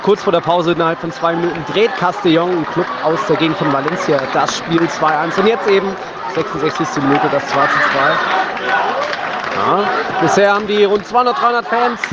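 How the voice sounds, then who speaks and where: A high-pitched man gives informal, off-the-cuff football commentary from the stands of an outdoor stadium. A very loud crowd surrounds him, and the handheld recording is muffled. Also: a little fast, heavy background noise. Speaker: podcast host reporting from a stadium. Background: loud football fans and crowd.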